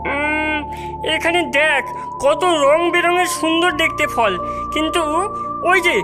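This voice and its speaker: A woman's voice: sits high, fairly even intonation, a little slow, over music. A woman voicing a plucky, earnest young boy in a children's cartoon, determined and loyal to his friends. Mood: earnest, concerned. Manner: earnest, hesitant hums before speaking.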